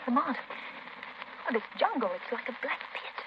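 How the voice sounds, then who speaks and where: A muffled, lightly noisy 1930s radio recording of a brave, anxious woman adventurer. The voice is on the high side, with earnest, melodramatic urgency. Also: big pitch swings, measured pace. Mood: frightened.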